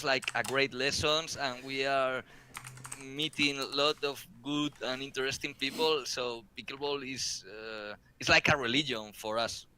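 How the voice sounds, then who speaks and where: A man with a Spanish accent co-hosting an interview show, joking and laughing a lot in halting English. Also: mid-range voice, sharp tone, slight background noise. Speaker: podcast co-host. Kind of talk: casual interview chat.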